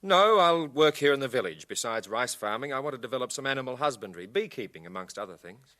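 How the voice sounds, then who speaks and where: An actor playing an earnest young village farmer and devoted fiance in a radio drama. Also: male voice, mid-range voice, expressive, quick pace, pushed and bright.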